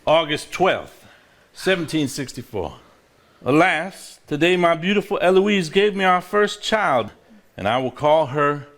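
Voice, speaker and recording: A man acting a courteous, mild-mannered husband in a live stage play, speaking in measured phrases. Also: fairly high pitch, lively intonation, a bit bright. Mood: solemn reading. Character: genteel, reassuring Southern husband, mild-mannered. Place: theater stage before a live audience.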